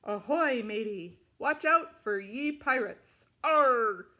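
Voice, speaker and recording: Woman putting on a hearty, animated storybook pirate. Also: on the high side, takes it slowly, exaggerated voice. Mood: hearty warning. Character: hearty pirate hailing and warning shipmates.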